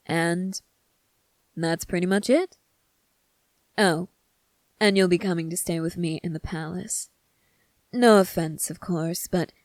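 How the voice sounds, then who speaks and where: A woman playing a cunning, self-assured young prince in an ASMR roleplay, speaking in a measured, formal, teasing way. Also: fairly low pitch, a little mellow, no room sound, close to an everyday voice.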